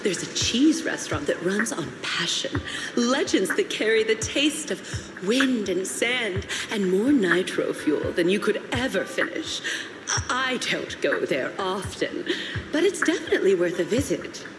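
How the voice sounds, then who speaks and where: A woman playing a courteous, helpful flower shopkeeper in a video game, speaking in a polite, gentle, explanatory way. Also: medium pitch, sing-song, a little slow. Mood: friendly, helpful. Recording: roomy sound with clear music behind.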